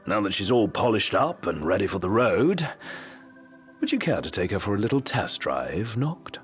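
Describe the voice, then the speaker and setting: An actor playing a composed, cautious male retainer in a video game, speaking in a formal, measured, dry manner. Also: fairly low pitch, sing-song, faint music behind. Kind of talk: video game cutscene acting.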